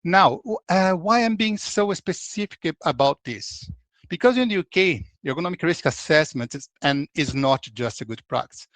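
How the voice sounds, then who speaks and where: A man's voice: a little high, animated, normal speed, fairly bright tone, no room sound. A man in his forties from Brazil, speaking with a Brazilian accent as a consultant presenting a webinar in a conversational way, with frequent restarts.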